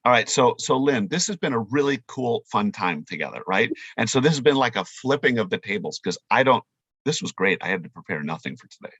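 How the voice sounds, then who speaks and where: Animated, husky-voiced man chatting. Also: mid-range voice, brisk, no echo, poor audio. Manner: animated, informal, playful, emphatic. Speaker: chef and webcast presenter. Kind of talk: casual conversational interview.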